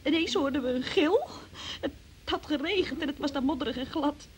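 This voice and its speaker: An actress playing an evasive, defensive young woman who gives a halting account under questioning in a Dutch radio play. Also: sits high, close to an everyday voice. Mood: hesitant recounting. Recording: noisy old radio play recording.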